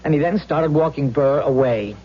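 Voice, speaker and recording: An actor playing an anxious, haunted policeman in a 1970s radio drama, halting and stammering with intensity. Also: a little high, slight background noise, natural voice. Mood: haunted, intense. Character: anxious, haunted male policeman confiding in wife. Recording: dull vintage radio recording.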